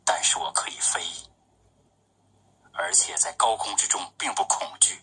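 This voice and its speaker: Husky low-voiced man reciting poetry. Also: wildly swooping pitch, normal speed, pushed and bright. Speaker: poet reading his own work.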